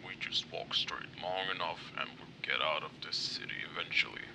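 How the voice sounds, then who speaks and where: A man voicing a gruff, impatient masked soldier in a comic dub, grumbling and deadpan. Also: low-pitched, moderate pace, whispered, poor audio. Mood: weary. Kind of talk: amateur comic dub voice acting. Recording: gritty track with background noise.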